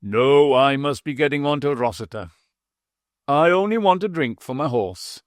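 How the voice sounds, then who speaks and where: A man voicing a genteel traveller on horseback who asks easy, gentlemanly questions. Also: medium pitch, lively intonation, moderate pace, dry recording, studio quality, lightly acted. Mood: curious. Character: genteel male traveller on horseback, relaxed and curious.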